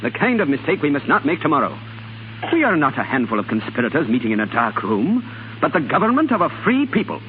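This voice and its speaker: An actor playing a principled, resolute young Irish rebel leader in a 1930s radio drama. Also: male voice, a little high, very animated, fast talker, phone-quality sound. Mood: firm, commanding. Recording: thin 1930s radio broadcast, faint noise.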